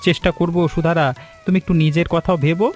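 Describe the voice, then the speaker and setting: A man playing a concerned, caring husband away from home in an animated family story, speaking in a hesitant, halting way. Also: on the high side, soft background music, natural voice.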